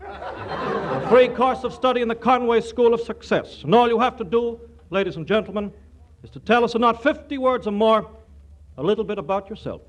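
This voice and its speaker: Casting: theatrical radio huckster, extremely high male voice, gushing, vintage comedy announcer. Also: expressive, normal speed, highs cut off, a little hiss or hum. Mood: gushing, salesy. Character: showy male radio pitchman plugging a contest.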